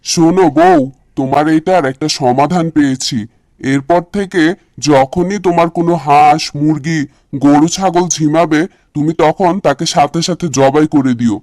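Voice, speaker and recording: A man plays a long-suffering village fisherman in a cartoon. His voice sits fairly high, with the practical air of a tired husband. It is recorded dry, with no room sound. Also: normal speed, a little mellow, no echo, lightly acted. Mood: reassuring advice. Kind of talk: cartoon character dialogue.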